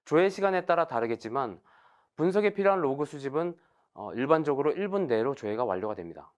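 Man presenting formally with lively intonation. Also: on the high side, highs cut off. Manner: measured, formal explaining. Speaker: technical webinar presenter. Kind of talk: formal scripted technical presentation.

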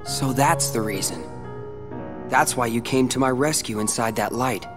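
An actor playing a calm, determined teenage boy hero in a video game, with a dry, brooding way of talking. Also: mid-range voice, moderate pace, close to an everyday voice. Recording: game audio with reverb and loud music.

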